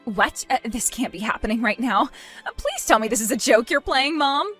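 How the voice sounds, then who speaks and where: A spoiled young bride in a dramatized family story, acted out by a woman. Her voice is high and swings widely as she whines and pleads petulantly, with music playing underneath. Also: animated, normal speed, a bit bright, breathy edge. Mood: shocked, annoyed. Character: spoiled, whiny, self-centred young bride, pleading with mother.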